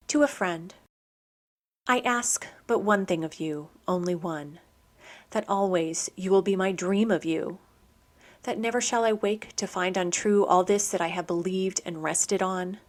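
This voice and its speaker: A woman hosting a poetry program, reading scripted literary commentary and verse in a measured, explanatory manner. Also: on the low side.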